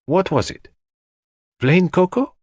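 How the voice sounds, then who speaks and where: A man's voice: sits high, slow, breathy edge, no echo, pitched above the performer's own voice, full character voice. Audiobook role: businesslike male sleuth acted by a man, slightly raised voice, precise, courteous, composed, dry sound. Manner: calm, precise, probing. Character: calm, courteous, businesslike male detective questioning witnesses.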